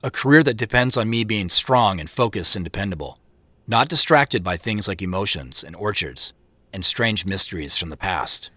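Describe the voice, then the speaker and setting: An earnest, expressive narrator, bright voice. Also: male voice, fairly low pitch, moderate pace, no echo, heavily acted. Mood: wistful, reflective. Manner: earnest, reflective. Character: Joseph, a conflicted, career-driven man holding back emotion. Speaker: narrator and lead actor. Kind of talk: introspective first-person audio drama narration.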